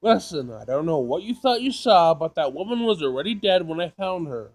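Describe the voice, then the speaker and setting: A man's voice: on the high side, big pitch swings, fairly quick, a little mellow. A man doing a casual let's play, reading game text aloud and reacting in an informal, sassy way. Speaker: gaming video player.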